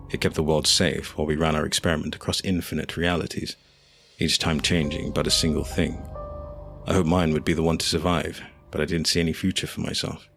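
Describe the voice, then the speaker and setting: A man narrating a scripted fantasy audio drama in a measured, solemn way, over faint noise and ambience. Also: rumbling low, gravelly, a bit bright.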